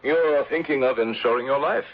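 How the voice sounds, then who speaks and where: An actor playing a shrewd, wary English insurance manager in a radio drama, speaking in a measured, formal way. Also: male voice, medium pitch, close to an everyday voice. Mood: polite. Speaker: actor narrating and playing the lead. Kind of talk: 1970s radio drama acting. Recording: dull old radio recording with music.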